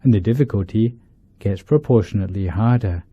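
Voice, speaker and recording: A man, a monk leading a guided meditation, speaking in deliberate short phrases with pauses between them. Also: lowish voice, slightly rough. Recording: dull lo-fi, roomy echo.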